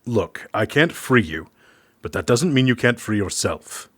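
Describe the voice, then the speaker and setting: A man acting in an audio drama as a discreet medic, speaking in a measured, formal, coy way. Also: mid-range voice, lively intonation, a bit bright, dry recording, studio quality. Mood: dry, coy. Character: dry, discreet male medic quietly aiding prisoners. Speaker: voice actor in a fiction podcast.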